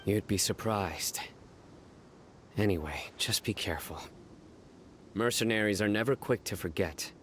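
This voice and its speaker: A man plays a stoic young male investigator with a deep, low voice. He speaks in terse, measured, deadpan sentences, even when he warns of danger. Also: noisy background, natural voice. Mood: stern. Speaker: stern law enforcer.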